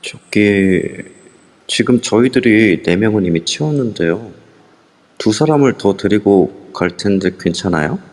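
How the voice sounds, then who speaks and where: A man's voice: fairly low pitch, expressive, measured pace, darkish tone. A man playing a friendly young man who talks casually in an amateur audio drama. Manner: casual and chatty. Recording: reverb-heavy online audio.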